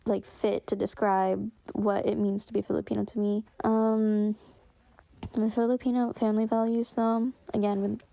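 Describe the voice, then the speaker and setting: A woman's voice: mid-range voice, little pitch movement, moderate pace, creaky, warm tone, no echo. A young woman reflecting on her family heritage in a wistful, unscripted way, full of fillers and restarts. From United States. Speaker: storyteller sharing her family history.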